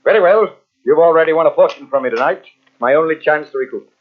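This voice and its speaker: An actor playing a stern senior army major in an old-time radio drama, formal and clipped. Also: male voice, a little high, quick pace, lightly acted. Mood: gruff, resigned. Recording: tinny, narrow-band old radio recording.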